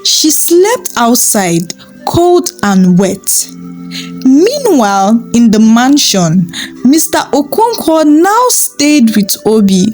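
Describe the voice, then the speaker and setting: A woman narrating a dramatic folktale in a slow, expressive way. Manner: slow, expressive, rising pitch on key moments. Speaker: storyteller. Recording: with background music.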